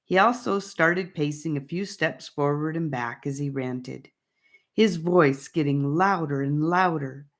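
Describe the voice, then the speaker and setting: A woman narrating a fantasy audiobook in a measured, deliberate storybook style. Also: sits low.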